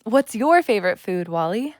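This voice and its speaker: A woman's voice: medium pitch, sing-song, normal speed, no echo, studio quality, natural voice. A woman playing an earnest, wounded young woman who is friendly and seeks connection, confiding in an audio drama. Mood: eager, happy.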